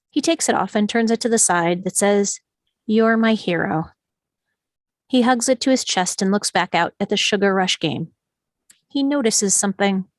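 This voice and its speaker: A woman's voice: mid-range voice, no room sound. A woman reading stage directions as the narrator of a screenplay table read.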